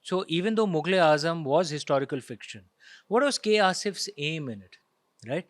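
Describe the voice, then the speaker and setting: A man's voice: a little high, lively intonation, brisk, dry recording, studio quality. A man with an Indian accent explaining his views in an interview, emphatic and argumentative, often checking in with rhetorical questions. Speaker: author of historical fiction, interview guest.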